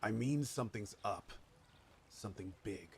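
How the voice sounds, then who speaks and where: Gravelly washed-up warrior. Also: male voice, fairly low pitch, moderate pace, a little mellow, a little hiss or hum. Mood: ominous warning. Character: gruff washed-up drunken veteran warrior, haunted but eager to fight. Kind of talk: dramatic video game dialogue.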